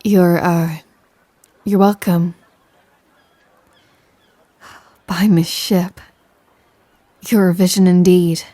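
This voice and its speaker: A woman's voice: on the low side, flat delivery, slow, dry recording. Lady doing a pirate captain woman, pirate accent, flirty and commanding, close dry mic, audio roleplay. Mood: flustered, tender. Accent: stage pirate. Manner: teasing, gently commanding, old sailor slang. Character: swaggering, tender female pirate captain, gently commanding. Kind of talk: scripted roleplay audio, intimate second-person address.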